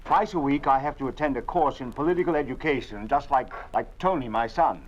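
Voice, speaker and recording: A man's voice: medium pitch, lightly acted. An actor playing an anxious middle-aged father. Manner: anxious, earnest, halting repeats. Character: worried middle-aged family father under a regime. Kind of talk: 1950s radio drama acting. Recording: dull old 1950s radio recording.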